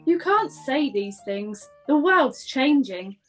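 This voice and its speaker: A woman playing an ageing, gentle Edwardian actress in a radio play, polite and reassuring. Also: high voice, sing-song, takes it slowly, soft background music, exaggerated voice. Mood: gently chiding.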